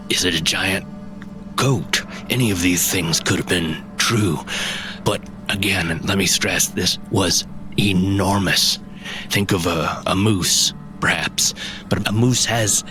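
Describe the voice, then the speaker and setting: An actor playing a shaken woodland tracker in a fantasy audio drama, telling of a monster in halting, dramatic phrases. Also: male voice, sits low. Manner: halting, dramatic storyteller, often pausing and repeating.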